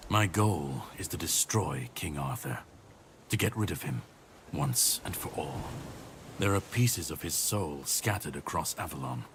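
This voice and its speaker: An actor playing a grim, world-weary warrior on a mission in a video game, speaking in a grave, measured way. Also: male voice, very deep voice, expressive, a bit bright, full character voice. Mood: grave, resolute. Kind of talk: dramatic video game dialogue acting. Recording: clear background noise and ambience.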